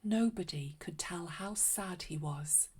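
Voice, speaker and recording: A woman narrating a read-aloud story for children in a gentle, unhurried, expressive way. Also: lowish voice, slightly rough. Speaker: children's story reader and host.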